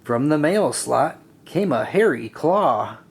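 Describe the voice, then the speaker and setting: A man reading a rhyming children's story aloud in an expressive, deliberate, sing-song way. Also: fairly high pitch, gravelly, breathy edge. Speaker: storybook reader. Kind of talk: children's read-aloud narration.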